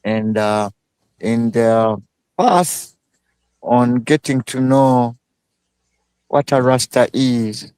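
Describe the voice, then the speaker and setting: Slow, halting Ugandan-born man. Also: mid-range voice, a little mellow, clean tone, dry recording. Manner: slow, halting speech with many fillers. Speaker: guest. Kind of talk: casual live interview.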